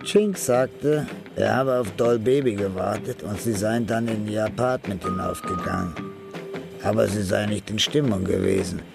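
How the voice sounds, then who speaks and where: A man narrating a scripted radio crime drama in a measured, matter-of-fact way. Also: lowish voice, creaky, music in the background.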